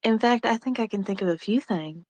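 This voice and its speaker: A woman's voice: mid-range voice, brisk, a little mellow, no echo, lightly acted. A woman playing a flirtatious, secretive nurse in a screenplay table read, with a coy, suggestive manner.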